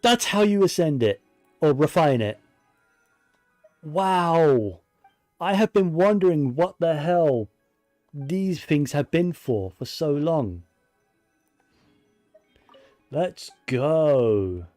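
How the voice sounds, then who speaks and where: A man's voice: a little high, expressive, takes it slowly, long pauses, darkish tone, dry recording, clean studio sound, soft background music. A man giving casual video game commentary, musing and thinking aloud to himself as he plays.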